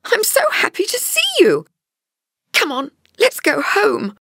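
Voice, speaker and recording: A woman acting a high-voiced, earnest young heroine. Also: sing-song, takes it slowly, a bit bright, dry recording, studio quality, heavily acted. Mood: relieved, happy. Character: earnest, anxious young heroine on a time trip. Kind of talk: dramatised audiobook acting.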